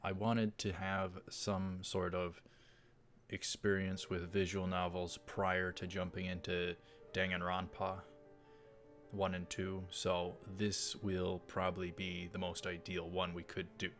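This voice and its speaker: A man with a low voice hosts a let's play in a casual style. He rambles and halts as he comments on the game. Faint music sits behind his voice. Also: completely flat delivery, a little slow, a bit bright.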